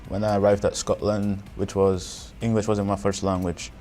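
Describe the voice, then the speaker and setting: A young man from Angola, a native Portuguese speaker, answering interview questions about his school years in halting phrasing. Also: on the low side, level tone, warm tone, soft background music. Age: young adult. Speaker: former mentored student.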